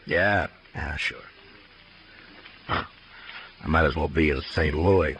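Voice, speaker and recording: An actor playing a troubled, plain-spoken Old West marshal in a 1950s radio western, terse and hesitant in manner. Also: male voice, very deep voice, takes it slowly, vocal fry, a bit bright, slightly rough, exaggerated voice. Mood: brooding. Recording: noisy 1950s radio recording.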